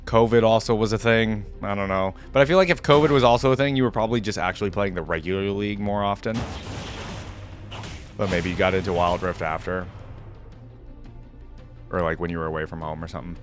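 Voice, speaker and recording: A man's voice: lowish voice, fairly quick, pauses often, soft background music. A man giving casual gaming reaction commentary, reading aloud and commenting offhandedly as host.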